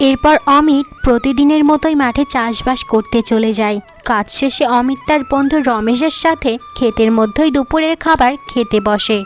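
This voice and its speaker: Sex: woman. Role: narrator. Style: cartoon fairy-tale narration. Pitch: high. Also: moderate pace, hardly any pauses, soft background music.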